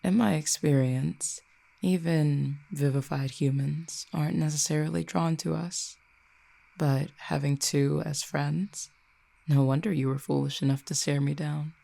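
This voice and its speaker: An actor as a haughty, creaky-voiced ancient cupid. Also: mid-range voice, steady tone, dark tone. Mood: intrigued, condescending. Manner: haughty, deliberate, menacingly teasing. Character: ancient, possessive, haughty cupid lover with telepathy. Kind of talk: scripted audio roleplay, acted monologue.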